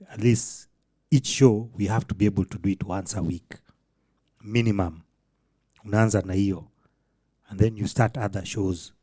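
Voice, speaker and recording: A man's voice: on the low side, mellow, dry recording, clean studio sound. A man co-hosting a casual podcast, talking reflectively and mixing in Swahili phrases. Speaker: podcast co-host.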